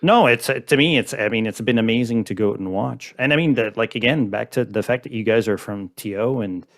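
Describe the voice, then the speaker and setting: A man's voice: medium pitch, fairly quick. A man in his forties from Montreal hosting a casual podcast conversation, talking in long, rambling sentences full of restarts. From Montreal, Canada. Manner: rambling, many "I mean" restarts. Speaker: podcast host.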